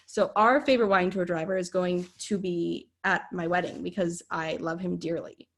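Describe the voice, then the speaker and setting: A woman's voice: lowish voice, fairly even intonation, moderate pace. A woman with a Minnesotan accent, a podcast guest chatting casually, bubbly, laughing often and rambling as she tells stories. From Minnesota, USA. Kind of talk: casual chat.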